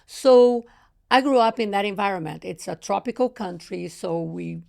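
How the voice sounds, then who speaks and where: A middle-aged woman speaks in a Brazilian accent with a noticeable gravelly fry under her words. Her pitch sits in an ordinary middle range. She answers in a relaxed, conversational way, sometimes choosing her English words a little loosely. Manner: unhurried, slightly non-native phrasing. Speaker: chef, podcast guest. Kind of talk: casual conversation.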